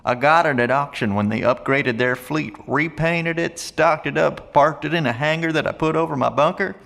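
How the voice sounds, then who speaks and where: An actor playing a blunt, friendly survivalist in an audio drama, with a folksy, gravelly drawl. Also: male voice, fairly high pitch, normal speed, a bit bright. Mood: folksy, matter-of-fact. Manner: folksy, colloquial, plain-spoken. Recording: long echoey reverb of an empty hall.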